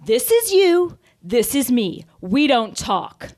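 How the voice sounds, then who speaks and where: A woman's voice: fairly high pitch, big pitch swings, slow, a little mellow. A woman playing a haughty, indignant reality-show woman in a mock-dramatic argument, condescending and emphatic. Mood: indignant, dismissive.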